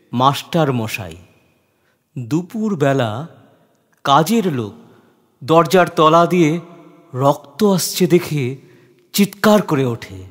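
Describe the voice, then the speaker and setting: A man reciting a poem dramatically, solemn and deliberate, with weighted pauses between short phrases. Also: on the high side. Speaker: poetry reciter. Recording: long reverb, soft guitar music behind.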